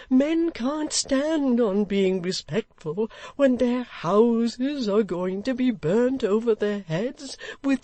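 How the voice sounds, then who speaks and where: Woman doing a shrill choleric old gentleman. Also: no room sound. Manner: pleading, indignant outbursts.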